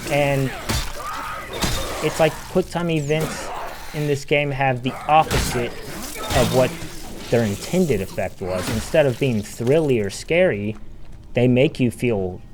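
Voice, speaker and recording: Man giving commentary over clear background noise. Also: medium pitch, a little slow, long pauses. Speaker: video game critic, narrator. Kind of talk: video essay commentary.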